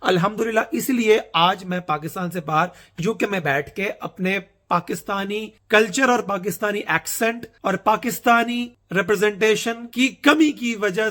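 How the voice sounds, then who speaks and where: A man's voice: sits high, fairly bright tone. A young man from Pakistan with a Pakistani Urdu accent, talking to camera in a casual, earnest, rambling monologue that mixes Urdu and English. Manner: earnest, rambling, self-mocking. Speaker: vlogger.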